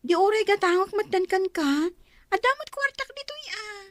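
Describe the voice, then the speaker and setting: A woman's voice: very high voice, lively intonation, moderate pace. A woman playing a coaxing, wheedling schoolgirl in a radio drama, with an Ilocano Filipino accent. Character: coaxing schoolgirl, younger and self-interested.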